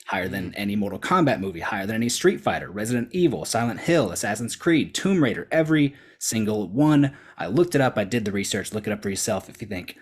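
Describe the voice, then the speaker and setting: A man's voice: lowish voice, expressive, fast talker, few pauses, breathy edge. A man delivering an emphatic, prepared debate argument, driving points home with rhetorical repetition. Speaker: debate contestant.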